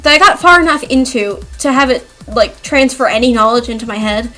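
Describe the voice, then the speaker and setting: A young woman with a high-pitched voice talks in a loose, chatty ramble. She is animated and self-deprecating, prone to giggling, like someone telling personal stories in a voice-over. Light music plays faintly behind her. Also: a little fast. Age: young adult. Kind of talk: casual rambling chat over speedpaint. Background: background music.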